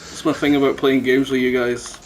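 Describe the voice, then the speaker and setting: A man's voice: medium pitch, little pitch movement, a little fast, poor audio. A man chatting casually and bluntly as a podcast host, swearing often. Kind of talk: casual chat.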